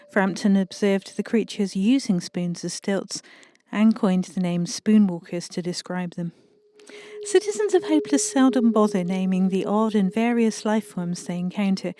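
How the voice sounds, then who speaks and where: A woman reading a scripted mock-documentary folklore tale in a measured, deadpan way. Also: mid-range voice. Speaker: guest storyteller.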